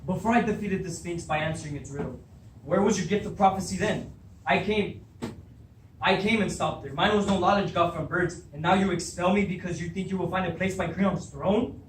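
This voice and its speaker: A man's voice: sits high, brisk, close to an everyday voice. A young man acting an angry, suspicious king in beginner stage readers' theatre, with an indignant, accusing manner. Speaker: drama student. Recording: roomy, distant mic, faint noise.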